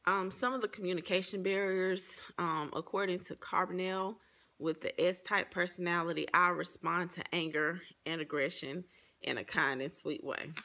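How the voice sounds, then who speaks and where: Low, creaky-voiced woman presenting. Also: fairly even intonation, normal speed, sharp tone. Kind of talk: personal reflective presentation, partly read from notes.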